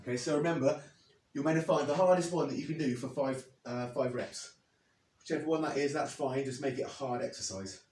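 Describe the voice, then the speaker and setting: A man in his thirties, slightly hoarse after losing his voice, explaining and demonstrating leg exercises step by step as a fitness coach. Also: a little high, darkish tone. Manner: plain, step-by-step coaching while demonstrating moves. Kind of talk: instructional workout demo. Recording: echoey, from a distance.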